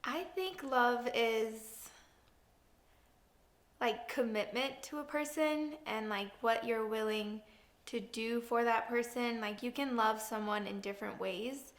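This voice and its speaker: A young woman in her twenties with a fairly high voice answers casually, leaning on "like" and "um" as she goes. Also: fairly even intonation, slow pace. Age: 20s. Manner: casual, filler-heavy, says "like" a lot. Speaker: interviewee.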